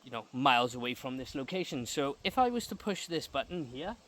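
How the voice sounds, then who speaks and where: A man presenting as a repair technician in an informal explainer, going step by step with halting pauses while filming outdoors. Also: mid-range voice, sing-song, fairly bright tone. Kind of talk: informal explainer vlog from a job. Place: outdoors beside an electric gate.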